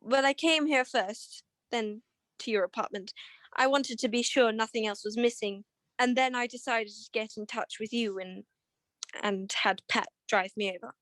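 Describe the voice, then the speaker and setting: A woman's voice: on the high side, normal speed, a bit bright, dry recording, lightly acted. A woman playing an evasive, anxious, well-to-do married client in a radio detective drama, speaking in a genteel, hesitant way. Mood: explaining, uneasy.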